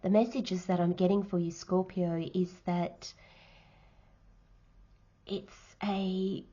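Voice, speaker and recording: A woman giving a tarot reading, nasal and croaky from hay fever, speaking softly and sometimes slipping into a whisper. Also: fairly low pitch, flat delivery, highs cut off. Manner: soft, unhurried, drops into whispers while laying cards. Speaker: tarot reader.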